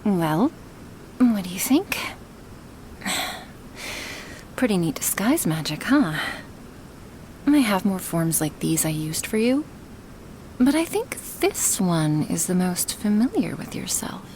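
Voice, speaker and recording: A woman playing a huge, gentle and affectionate female dragon in an ASMR roleplay, speaking softly and intimately to the listener in formal wording, often slipping toward a whisper. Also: lowish voice, sing-song, a little slow, a little hiss or hum, low-quality recording. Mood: coaxing, playful.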